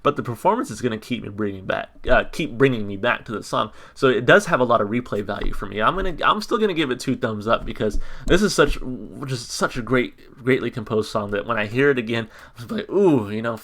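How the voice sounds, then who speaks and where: A man reviewing a song on his channel in a casual, monotone way, full of ums and restarts. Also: medium pitch, a little fast, few pauses. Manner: hesitant, many ums and restarts. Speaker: music reaction YouTuber. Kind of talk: casual reaction commentary.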